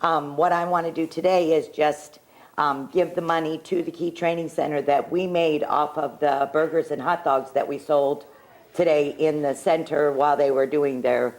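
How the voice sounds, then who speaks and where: A woman's voice: low voice, fairly even intonation, moderate pace. A woman on a telethon, first chatting eagerly about her donation and then reading out donor names and amounts, tripping over hard names and apologizing. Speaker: local business owner donating to a telethon. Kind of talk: casual chat, reading donor names aloud. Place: television telethon studio. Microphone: handheld microphone.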